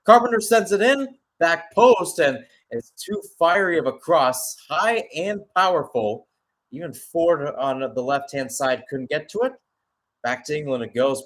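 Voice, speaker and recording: A man's voice: on the high side, a bit bright. A man doing live radio-style soccer commentary as the play-by-play announcer, narrating in short clipped phrases.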